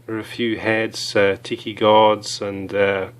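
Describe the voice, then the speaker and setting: A hoarse man with a cold, chatting casually as he reviews small gear items, with many fillers. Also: on the low side, level tone, measured pace.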